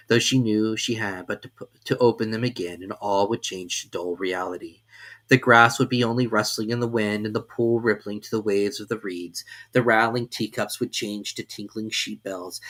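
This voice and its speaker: Bright-toned male storybook reader. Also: on the low side. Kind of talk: dramatic reading of a classic tale.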